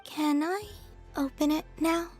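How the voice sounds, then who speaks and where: An actress voicing a serene young moon goddess in a video game, soft and airy, with a gentle, understated manner. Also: female voice, high-pitched, steady tone, measured pace. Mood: calm curiosity. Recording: music behind the voice.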